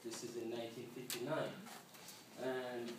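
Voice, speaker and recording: Halting Ugandan man in a noisy, echoey room. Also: medium pitch, level tone, far from the mic. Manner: halting, repeats words as he goes. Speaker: student presenter. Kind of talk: informal spoken presentation to a class. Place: classroom.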